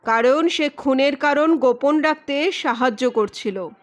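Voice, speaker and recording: A sardonic male private detective in an audio mystery, voiced by a woman, pitched high. He speaks with deliberate authority, accusing and giving orders.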